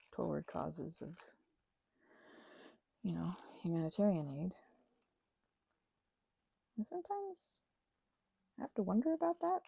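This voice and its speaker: A woman giving an unscripted ASMR-style craft ramble as host, halting and self-correcting in an earnest way, close to the mic. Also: fairly low pitch, gravelly, dark tone, husky, no echo.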